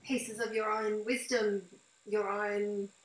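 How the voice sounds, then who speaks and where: A woman's voice: mid-range voice, little pitch movement, takes it slowly. A middle-aged woman interviewing someone over a video call, asking conversational questions in a hesitant, thoughtful way. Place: small indoor room. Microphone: video call webcam setup. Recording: roomy, echoey video call audio.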